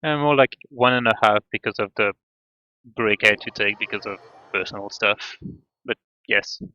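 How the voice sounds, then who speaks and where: A man chatting casually on a game livestream, halting often as he thinks aloud. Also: on the low side, pushed and bright, dry recording. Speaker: game streamer. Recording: dull band-limited mic, faint music.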